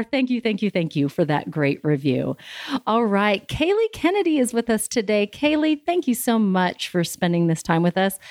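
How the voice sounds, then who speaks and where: A woman's voice: medium pitch, a little mellow, no echo, studio quality. Upbeat woman podcast host. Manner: upbeat, effusive, grateful. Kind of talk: scripted intro then casual interview. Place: office.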